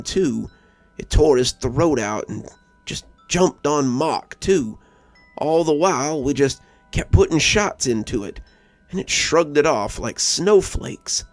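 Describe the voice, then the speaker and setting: A man's voice: medium pitch, no echo. A man voicing a wounded young backwoods man who recounts a monster attack in a story. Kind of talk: first-person storytelling monologue.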